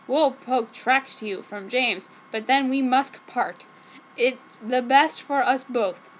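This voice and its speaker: A woman's voice: high-pitched, slow pace, slight background noise, poor audio. A woman voicing a brooding, self-denying young male vampire lover in a solemn, melodramatic way. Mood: mournful resignation.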